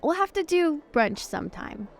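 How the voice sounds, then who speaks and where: A woman playing a genteel young lady in improvised tabletop roleplay, gushing, halting and evasive as she apologises and reassures. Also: high voice, sing-song, creaky, full character voice. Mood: bright reassurance. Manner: gushing, halting, over-polite.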